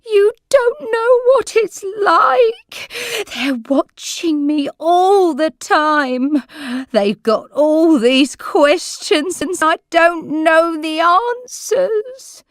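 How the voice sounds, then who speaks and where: A woman's voice: on the low side, very animated, gravelly, no echo, studio quality, heavily acted. A woman playing a confused old lady. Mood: tearful. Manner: slow, wandering, confused speech. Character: elderly woman, muddled and easily upset.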